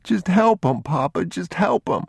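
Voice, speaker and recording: A man voicing a frightened, tender young boy in an audiobook story, pleading haltingly and close to sobbing. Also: lowish voice, a little mellow, dry recording, pitched above the performer's own voice. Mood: distressed, pleading. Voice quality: sobbing, breathy pleas.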